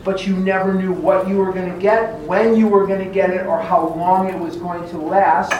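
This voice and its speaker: A man with a Chicago American accent giving a personal testimony speech, emphatic and deliberate, repeating phrases for effect. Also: sits high, steady tone, muffled recording. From Chicago, USA. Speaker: guest speaker at recovery meeting. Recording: very echoey room, distant mic, clear background noise.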